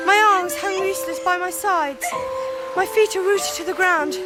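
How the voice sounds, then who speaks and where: A woman playing a spirited, anxious young Victorian girl in a radio drama, well-spoken and expressive with an English accent. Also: very high-pitched, moderate pace, loud music behind the voice. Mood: dread. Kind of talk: 1990s radio drama acting and narration.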